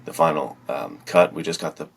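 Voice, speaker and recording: Very deep, gravelly man chatting casually. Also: expressive, a little fast. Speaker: podcast speaker, filmmaker and writer. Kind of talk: casual conversational storytelling.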